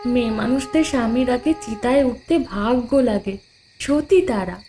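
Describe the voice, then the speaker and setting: Woman acting a high-voiced, sorrowful young village widow. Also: unhurried, warm tone, faint music behind, close to an everyday voice. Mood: sorrowful, bitter. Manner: meek, self-deprecating, plaintive. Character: sorrowful young village widow who believes herself cursed. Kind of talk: dramatised audio horror story acting.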